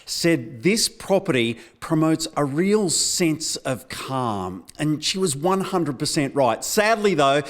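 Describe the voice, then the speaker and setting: A man's voice: fairly high pitch, sing-song, slow pace, few pauses. A man hosting a property tour video, chatty and upbeat, calling his guest mate. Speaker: video host. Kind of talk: casual property tour interview. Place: outdoors at a house.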